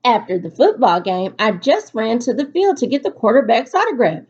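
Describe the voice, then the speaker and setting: A woman teacher reading aloud to students in an expressive, instructive storytelling delivery. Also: on the high side, moderate pace, slightly rough. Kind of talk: picture-book read-aloud for a virtual class. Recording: dull, lo-fi recording.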